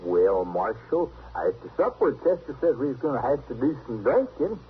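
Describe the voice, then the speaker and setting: A man's voice: mid-range voice, big pitch swings, lightly acted. Swooping, folksy backwoods man acted by a man. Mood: sheepish, earnest. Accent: rural American. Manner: halting, plain-spoken, folksy. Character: simple, earnest, stubborn backwoods man, younger brother. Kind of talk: old-time radio western acting. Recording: tinny, hissy old radio recording.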